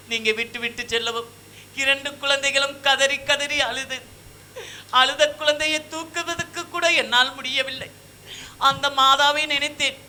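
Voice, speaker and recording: A man's voice: extremely high pitch, pushed and bright, slight background noise, full character voice. A man with a Tamil Nadu accent voicing a wronged, devout young wife and mother in formal folk puppet-theatre speech. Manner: plaintive, declamatory. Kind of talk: folk shadow puppet drama, formal stage diction.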